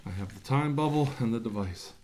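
A middle-aged man playing an earnest scientist who leads an armed mission, speaking in halting, measured phrases on a noisy recording. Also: mid-range voice, animated, a little mellow, natural voice. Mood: earnest, commanding. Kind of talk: amateur sci-fi role-play, briefing a team.

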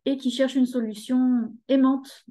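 A woman's voice: high-pitched, steady tone, moderate pace, dark tone. A woman in her fifties talking at length on a video call from her living room, hesitating often. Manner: talkative, hesitant with many fillers. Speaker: guest, horse rescue association member. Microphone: laptop webcam on video call.

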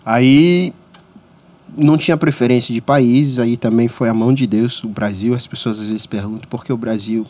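A man in his thirties from Congo, whose first language is French, telling his life story as a podcast guest in a small studio, voice rising sharply when excited. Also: normal speed. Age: 30s. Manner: animated storyteller, pitch jumps when excited. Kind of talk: storytelling interview. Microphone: studio mic on boom arm.

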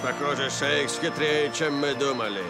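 A man voicing an excitable, theatrical football match commentator in a cartoon. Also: mid-range voice, normal speed, vocal fry, close to an everyday voice. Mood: suspenseful. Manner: theatrical, emphatic. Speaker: cartoon voice actor. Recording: music behind the voice.

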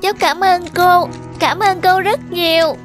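Very high-voiced, earnest little boy. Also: fairly even intonation, measured pace. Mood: surprised, grateful. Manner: childlike, earnest, pleading. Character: affectionate, pleading little village boy attached to his dog. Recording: music behind the voice.

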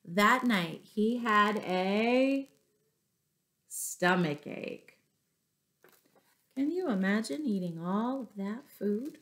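A middle-aged woman reading a picture book aloud to young children, with deliberate, expressive phrasing and little asides to her listeners. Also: medium pitch. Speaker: children's storyteller, teacher. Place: small furnished room at home.

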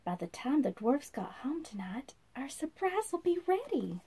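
A woman voicing a gentle, cheerful young princess from a storybook, sweet and encouraging. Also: sits high, very animated, moderate pace. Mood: gently instructing.

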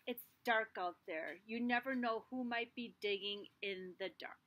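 A middle-aged woman teacher reading a picture book aloud to young children outdoors, expressive, with small asides to the class. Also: on the high side, moderate pace. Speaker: kindergarten teacher. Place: outdoors in a backyard under trees. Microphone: device camera at arm's length.

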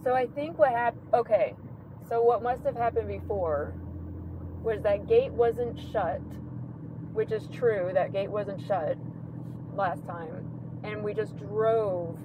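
A woman's voice: mid-range voice, takes it slowly. A woman driving at night, chatting casually and insistently, with lots of restarts, inside a car. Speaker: driver. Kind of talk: casual chat while driving. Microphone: 360 camera inside the car. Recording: tinny lo-fi sound, loud engine noise.

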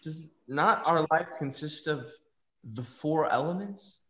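A man acting out boisterous merry knight, pompous delivery, drawn-out pace, roomy echo, stage comedy. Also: a little high, lively intonation, sharp tone, heavily acted. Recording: echoey room over video call.

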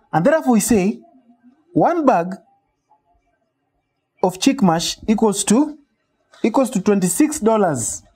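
A male instructor gives a step-by-step lesson. His voice is mellow and a little husky, and the pitch moves up and down a lot. He poses questions and then answers them himself. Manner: didactic, asks and answers own questions. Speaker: poultry farming instructor. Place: small shed with metal walls.

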